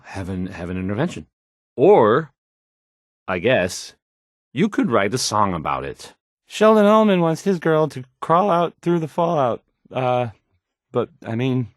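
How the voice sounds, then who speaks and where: A man's voice: medium pitch, no room sound, clean studio sound. An actor playing an awkward, self-doubting young male radio DJ in a game, hesitantly rambling through song introductions. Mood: awkward, unsure.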